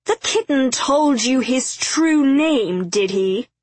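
A woman's voice: sits high, animated, slow, dry recording, pitched above the performer's own voice, heavily acted. A woman voicing a cruel, pompous male underworld lord in a storybook, with theatrical, mocking, formal speech. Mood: mocking glee.

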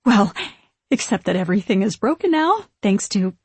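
A woman voicing a timid, eager witch in an audiobook, a nervous sister who speaks in a hesitant, hurried, pleading way. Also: mid-range voice, big pitch swings, darkish tone, breathy edge, dry recording. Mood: anxious, hurried.

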